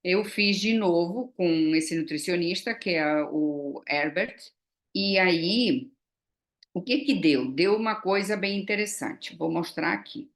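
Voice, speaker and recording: A woman in her early sixties talks in a relaxed, chatty way, walking through results while dotting her speech with little tag questions and fillers. Her voice sits a little low for a woman. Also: slow. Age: 60s. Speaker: vlogger. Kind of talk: casual chat.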